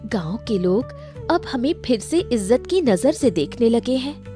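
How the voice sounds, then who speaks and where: A woman's voice: fairly high pitch, big pitch swings, a little slow, dark tone, faint music behind. A woman playing a supportive, wise village wife in a Hindi cartoon story, speaking in an earnest, reassuring manner. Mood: content, principled.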